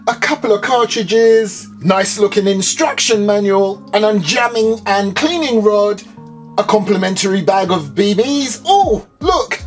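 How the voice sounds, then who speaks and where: British male airsoft reviewer, jokey and unhurried, faint background music. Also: high-pitched. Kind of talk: unboxing review.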